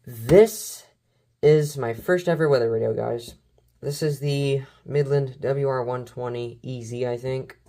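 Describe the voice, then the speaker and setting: A man's voice: mid-range voice, steady tone, measured pace, mellow. Guy doing a casual show and tell of his collection, rambles and trips over words, really into it. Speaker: collector showing weather radios and scanners. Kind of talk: casual collection showcase vlog.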